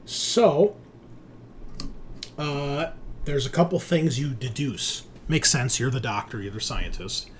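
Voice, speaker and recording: A man's voice: medium pitch, slow pace. Guy game mastering a sci-fi horror rpg, casual and sweary, some room noise behind.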